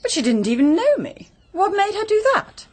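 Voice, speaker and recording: A woman's voice: very high voice, very animated, moderate pace. An English woman in a British radio drama playing a strong-willed young married woman, forthright and inquisitive. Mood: indignant, emphatic. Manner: forthright, bossy, questioning.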